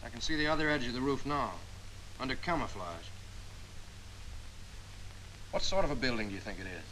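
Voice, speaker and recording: An actor playing a skeptical, probing intelligence officer in a 1940s training film, questioning dryly. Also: male voice, mid-range voice, animated, fairly quick, bright, forceful tone, rough recording. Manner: dry, questioning, slightly wry. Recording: 1940s film soundtrack with faint hiss.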